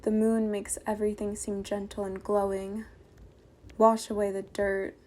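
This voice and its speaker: A woman poet reading her work aloud in a soft, quiet, measured voice over a video call from home. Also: mid-range voice, level tone, a little hiss or hum. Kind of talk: poetry reading.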